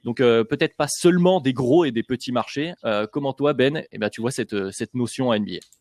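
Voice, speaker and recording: A man's voice: mid-range voice, brisk, darkish tone, no echo, clean studio sound. A man hosting a podcast discussion, chatty and full of hesitations and fillers. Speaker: podcast host.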